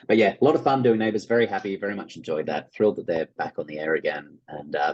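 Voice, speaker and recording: An Australian man who works as an actor, telling stories casually as an interview guest in an animated way. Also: a bass voice, breathy edge, low-quality recording. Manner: animated anecdote-telling, acting out quoted reactions.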